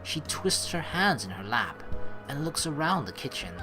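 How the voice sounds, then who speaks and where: A woman's voice: low voice, big pitch swings, normal speed, poor audio. A woman let's player reading game text aloud expressively, mixed with casual commentary. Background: game music.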